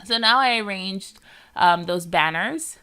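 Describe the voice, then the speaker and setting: A woman's voice: medium pitch, expressive, unhurried, pushed and bright, clear, resonant tone. A woman with a nasal, congested voice from a cold, chatting casually as she narrates her scrapbooking process, rambling with lots of "like" and "um". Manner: chatty and rambling, often saying "like" and "um". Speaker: scrapbooking vlogger.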